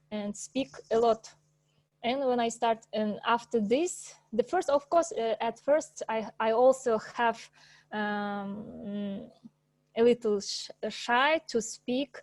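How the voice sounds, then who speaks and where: A woman whose first language is Russian gives an informal presentation over a video call, pausing often with fillers and restarts. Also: fairly high pitch, a little mellow, no echo. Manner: hesitant, many fillers and restarts, laughs often. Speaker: presenter at an English club.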